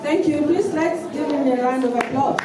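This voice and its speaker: A high-pitched female MC addresses a gathering at a community event. Her voice reaches the mic from a distance in an echoey hall, with a loud crowd around her. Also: normal speed, very noisy. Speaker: event host, MC. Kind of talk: announcing to a live audience at a community event.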